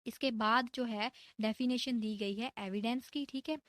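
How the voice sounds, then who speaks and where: A woman's voice: a little high, fairly even intonation, moderate pace, a bit bright, dry recording, clean studio sound. A woman with a Pakistani accent giving an explanatory exam-prep law lecture, repeating key points with emphasis and frequent check-in tags. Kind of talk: explanatory exam-prep lecture, mixing in English legal terms.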